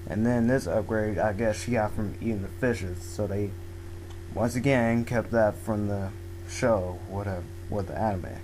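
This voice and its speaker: A young man going hoarse, giving a rambling, casual talk about a manga full of "like" and restarts. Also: lowish voice, fairly even intonation, unhurried, darkish tone, a little hiss or hum, rough recording. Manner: rambling, filler-heavy, restarts often. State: losing his voice. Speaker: manga video blogger. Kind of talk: casual spoken manga review. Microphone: home microphone.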